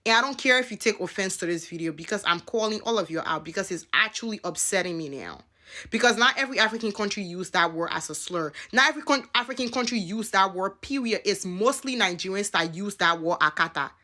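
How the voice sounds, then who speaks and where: A woman with a bright, sharp voice talks fast and exasperated. She calls people out bluntly in casual slang, straight to the camera. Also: medium pitch, animated. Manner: exasperated, calling out, uses slang. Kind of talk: casual social media video.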